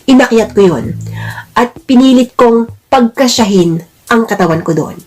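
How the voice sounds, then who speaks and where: A woman with a fairly high voice tells a dramatic first-person story. She delivers it in a deliberate, emotive way, as in a serial drama. Music plays behind her. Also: animated, darkish tone. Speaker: narrator of a listener's letter drama.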